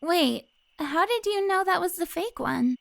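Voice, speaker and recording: A woman's voice: very high voice, lively intonation, a little slow, creaky, dry recording, full character voice. A woman acting an earnest, urgent captive godmother in a cinematic audio roleplay. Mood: startled. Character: earnest, pleading female captive, hero's godmother.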